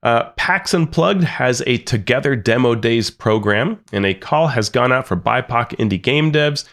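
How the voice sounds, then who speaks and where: A man's voice: mid-range voice, lively intonation, normal speed, fairly bright tone. A man co-hosting a podcast, sharing announcements in an informal, conversational way. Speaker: podcast co-host. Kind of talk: informal news reading.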